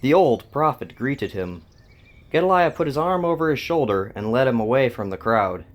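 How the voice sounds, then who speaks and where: A man's voice: mid-range voice, sing-song, normal speed. A man hosting and narrating a scripted story, with plucked guitar music behind him. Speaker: host and narrator.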